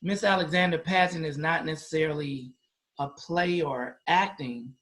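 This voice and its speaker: Guy with a pretty high voice doing a laid back chatty interview as host. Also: steady tone, moderate pace, fairly bright tone. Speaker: interviewer, school athletics host. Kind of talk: casual conversational interview.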